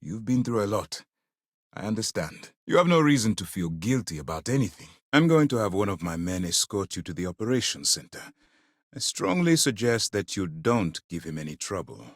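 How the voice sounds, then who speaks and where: A stern military commander from a video game, with a low voice that moves widely between measured restraint and force. He phrases things formally and with authority, in a close, dry recording. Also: very animated, dry recording. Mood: measured, authoritative. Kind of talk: scripted video game dialogue.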